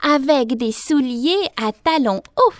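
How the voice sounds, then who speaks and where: Woman as a little girl piglet, storybook character, high voice, dreamy, excitable, boastful. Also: takes it slowly, no room sound, clean studio sound. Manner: exclaiming, daydreaming aloud. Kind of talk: children's storybook voice.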